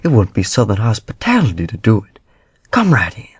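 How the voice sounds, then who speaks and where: A man's voice: fairly low pitch, huge pitch swings, a little fast, breathy edge, no room sound, heavily acted. A male narrator voicing a mild, flustered old farm uncle who stammers and hesitates.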